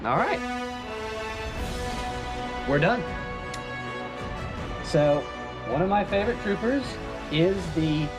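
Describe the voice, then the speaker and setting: An enthusiastic man with a slightly high voice narrates a hobby crafting video. He explains things casually and conversationally, like a host talking to viewers, with music playing behind him. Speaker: host of a hobby prop-making channel.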